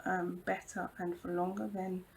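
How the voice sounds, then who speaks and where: A woman demonstrating a craft project at her home craft table, croaky from hay fever, talking in a chatty, reassuring way with frequent ums and apologies. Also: on the low side, level tone, unhurried, slight background noise. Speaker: craft tutorial host. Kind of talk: casual hands-on craft demonstration.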